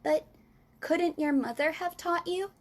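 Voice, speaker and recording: A woman voicing a polite, curious little girl in a storybook reading, with a raised, questioning voice. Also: a little slow. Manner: higher, questioning, earnest.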